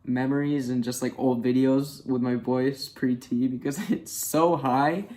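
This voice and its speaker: A man's voice: mid-range voice, steady tone, a little slow, mellow. A twenty-one-year-old man chatting candidly in a casual personal vlog, with many fillers. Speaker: vlogger.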